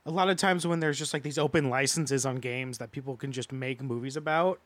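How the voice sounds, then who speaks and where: A man's voice: mid-range voice, brisk, fairly bright tone, no echo. A man giving his opinions as a podcast panelist in a casual, conversational review.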